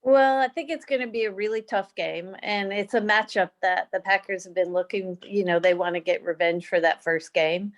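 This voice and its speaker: A woman's voice: mid-range voice, fairly even intonation, moderate pace, few pauses. Earnest woman from Michigan's Upper Peninsula. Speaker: podcast guest. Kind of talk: casual sports talk.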